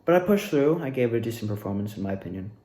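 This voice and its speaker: A man's voice: medium pitch, very animated, racing, dark tone. Echoey young man telling a rambling story. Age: young adult. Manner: rambling, repeats words for emphasis. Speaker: student actor recounting past performances. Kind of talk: casual storytelling monologue. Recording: echoey, reverberant room.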